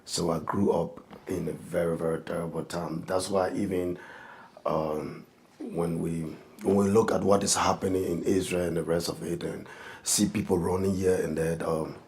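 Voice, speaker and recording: Gravelly deep-voiced pastor. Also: male voice, steady tone, a little mellow, a little hiss or hum. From Liberia. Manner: halting, many restarts and fillers, earnest. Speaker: pastor, guest. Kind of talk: personal testimony in an interview.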